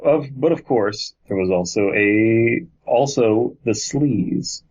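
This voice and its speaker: A man's voice: on the low side, takes it slowly, darkish tone, highs cut off. A man co-hosting a podcast, casually reading a story aloud and chatting between passages. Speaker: podcast co-host.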